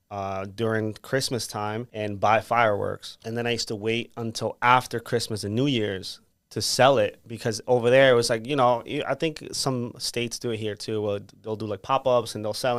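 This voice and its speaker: A man's voice: fairly low pitch, clean tone, no room sound, studio quality. A young man from Peru, a guest entrepreneur, talking casually in an in-person interview, chatty with lots of fillers. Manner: relaxed, chatty, many "like" fillers, laughs easily. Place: co-working space conference room.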